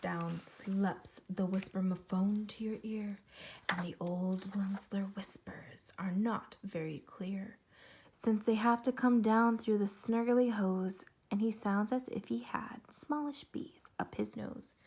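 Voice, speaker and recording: A woman reading a story aloud to young children, expressive, with dramatic pauses, questions to the listeners and a breathy voice that often drops toward a whisper. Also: medium pitch, background noise. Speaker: preschool teacher reading a picture book.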